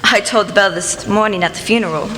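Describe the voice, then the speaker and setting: Lady doing a gossipy village busybody, sharp voice, full of herself, echoey room with some noise, radio play. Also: medium pitch, brisk, close to an everyday voice. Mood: chirpy, gossipy. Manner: chatty, folksy busybody. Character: cheerful, gossipy, self-important village postmistress and bell ringer. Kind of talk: amateur radio play acting.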